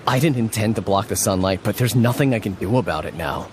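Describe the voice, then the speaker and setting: A man's voice: fairly low pitch, lively intonation, quick pace, a little hiss or hum, lightly acted. A man voicing an earnest village man who explains himself apologetically and stammers when flustered. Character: earnest young village man, dutiful son. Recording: game cutscene with loud background music.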